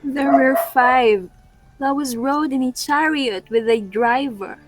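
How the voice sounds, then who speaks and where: A woman playing a reassuring queen and wife in a readers' theatre Greek tragedy, reciting her lines deliberately. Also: high-pitched, heavy background noise. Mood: solemn recounting.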